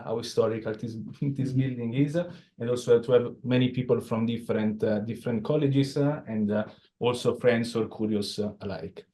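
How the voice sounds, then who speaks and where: Italian-accented man, dark voice, hesitant. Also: mid-range voice. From Italy. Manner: hesitant, many filler sounds, non-native phrasing. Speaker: presenter. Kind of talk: informal lecture to a study group. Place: crowded bookshop room.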